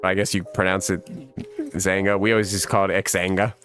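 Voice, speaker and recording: A man in his thirties hosts a relaxed livestream, his low voice carrying a slight rasp. He rambles and laughs his way through viewer comments, and soft music plays faintly behind him. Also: moderate pace. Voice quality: rough smoker's voice. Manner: laid-back and rambling, laughs often. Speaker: livestream host. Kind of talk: casual livestream chat reading viewer comments.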